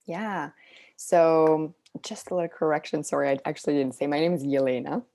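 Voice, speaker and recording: A woman's voice: deep voice, steady tone, moderate pace, gravelly, dark tone. A woman from Switzerland, an interview guest and parenting coach, explains things casually and informally, peppering her talk with "like" and "you know".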